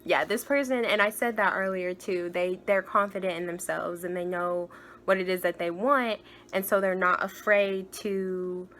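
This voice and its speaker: A young woman with a higher-pitched voice doing a casual tarot card reading, chatty and gushing, sometimes dropping to soft murmured asides. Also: fairly even intonation, moderate pace. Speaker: tarot reader.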